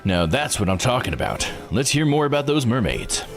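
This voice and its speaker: A man's voice: medium pitch, sing-song, brisk, music in the background. Man voicing a grandiose cheerful male fantasy author. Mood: excited. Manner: grandiose, flowery, dramatic flair.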